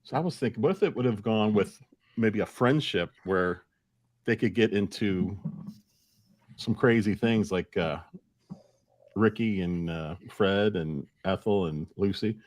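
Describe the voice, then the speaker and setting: A man's voice: on the low side, a little slow, a little mellow. An American man co-hosting a podcast, chatting casually with a group. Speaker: podcast co-host.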